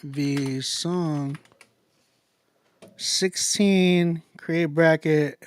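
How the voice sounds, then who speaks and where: High-voiced American man hosting a livestream. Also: very slow, dry recording. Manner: informal, slangy, repetitive hype talk. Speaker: livestream host of a music contest. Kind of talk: casual livestream chat.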